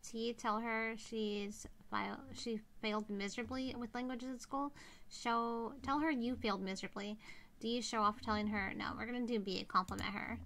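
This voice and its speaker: Young female game streamer, casual live commentary, noticeable vocal fry. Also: fairly high pitch, fairly even intonation, normal speed, fairly bright tone. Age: young adult. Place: indoors, seated at a streaming setup.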